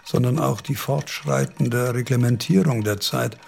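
An actor playing an aging, absent-minded and pedantic philosopher in a radio play, speaking in a slow, musing lecture tone with sudden emphatic lifts. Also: male voice, mid-range voice, creaky, slight background noise.

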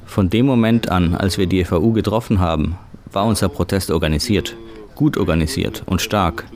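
A man with a low voice reads a translation aloud, steady and measured. He keeps an even, unhurried tone throughout. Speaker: voice-over interpreter. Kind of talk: reading a German translation.

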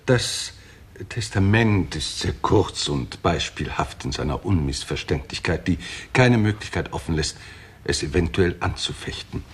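A man playing a formal, discreet lawyer in a German radio crime drama of the 1960s, speaking in measured, precise phrases with a husky voice. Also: sits low, animated, a little hiss or hum.

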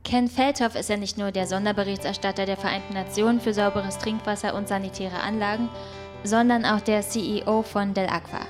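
A woman's voice: mid-range voice, fairly even intonation, a little fast, music in the background, close to an everyday voice. An actress playing an inquisitive woman reporter in a radio drama documentary. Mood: probing, composed.

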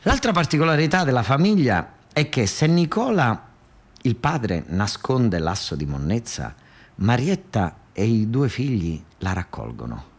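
A man's voice: medium pitch, sing-song, unhurried. A man with a southern Italian accent telling a comic theatrical monologue in a colloquial, repetitive, dialect-tinged way. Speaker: storyteller and stage actor.

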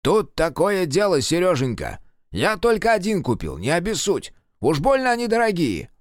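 A man's voice: sits high, huge pitch swings, normal speed, dry recording, studio quality, voice pushed up. A man voicing a bossy, scheming old grandfather with a crude, commanding manner in an audiobook. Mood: sheepish, wheedling.

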